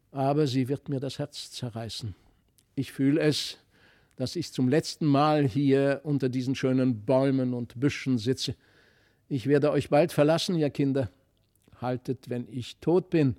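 Man reading as a mellow, sorrowful father. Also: medium pitch, no echo, studio quality, close to an everyday voice. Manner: earnest, wistful. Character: sorrowful loving father, wistful, sensing his death.

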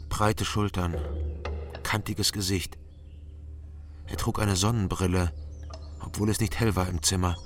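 A man's voice: low-pitched, steady tone, a little slow, pauses often, noisy background. An actor playing a wry East German man in a radio crime drama, who tells his own story in terse fragments and talks his way through questioning. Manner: terse, clipped first-person telling in short fragments. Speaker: narrator and protagonist.

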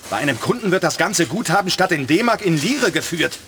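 Flustered bank clerk, slightly high. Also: male voice, sing-song, brisk, fairly bright tone, slight background noise. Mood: worried. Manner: anxious, polite. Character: worried, flustered male bank employee.

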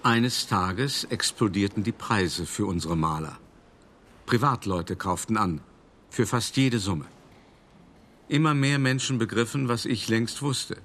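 A man's voice: lowish voice, a little slow, a bit bright, a little hiss or hum. An actor playing a worldly, cultured older art dealer who narrates his memories in a radio play, conversational and wry, addressing the listener directly. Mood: confiding. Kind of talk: first-person radio play monologue. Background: music.

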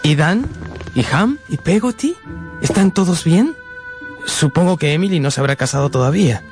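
A man's voice: fairly high pitch, animated, moderate pace, natural voice. Man acting an earnest young storyteller, music behind. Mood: concerned. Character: earnest, sensitive young man narrating his own life. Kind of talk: radio drama acting and narration.

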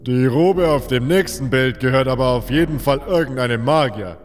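An actor playing a blunt, sceptical warrior companion in a fantasy audio drama. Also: male voice, mid-range voice, normal speed, soft background music, close to an everyday voice. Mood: doubtful. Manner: blunt, dismissive. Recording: long hall-like reverb.

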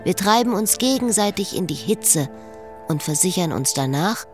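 A woman's voice: on the low side, animated, moderate pace, faint music behind, natural voice. An actress playing a lovesick, restless woman who speaks her inner thoughts in a radio drama. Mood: intimate, brooding.

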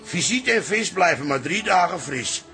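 A man's voice: sits high, lively intonation, moderate pace, bright, forceful tone, faint music behind, exaggerated voice. An actor playing a sly, jovial elderly father who talks in folksy sayings in a radio comedy. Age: older. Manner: folksy, fond of rhymes and sayings.

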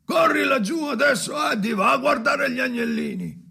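An actor voicing a harsh, angry father in a radio drama, barking orders and contempt in a forceful, imperious shout. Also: extremely high pitch, lively intonation, normal speed, gravelly, slightly rough, pitched above the performer's own voice, heavily acted. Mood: impatient, commanding. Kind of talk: avant-garde radio drama.

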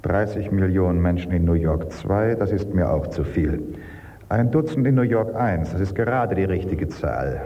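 An actor playing a grave, humorless bunker survivor in a radio drama. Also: male voice, low voice, animated, normal speed, warm tone. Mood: dry. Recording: dull, distant, long hall reverb.

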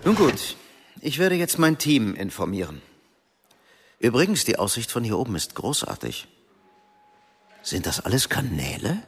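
Animated middle-aged businessman. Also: mid-range voice, a little slow, long pauses, slightly rough, heavily acted. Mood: assertive. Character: lonely, hesitant middle-aged American businessman, impatient at work. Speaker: actor in a radio play. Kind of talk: German radio drama dialogue.

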